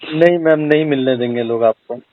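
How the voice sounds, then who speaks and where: A man's voice: medium pitch, a little fast, dry recording. A man, politely reassuring and sympathetic in a phone conversation. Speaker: video creator taking a teacher's call. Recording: muffled recorded phone call.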